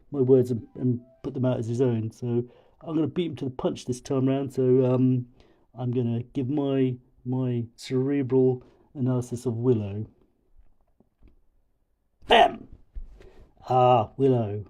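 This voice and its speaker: A man voicing a pompous, booming oaf with a cold, delivering halting mock-scholarly film criticism. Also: dark tone, natural voice. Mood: boastful, aggrieved. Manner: pompous, mock-intellectual, halting over long words. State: has a cold.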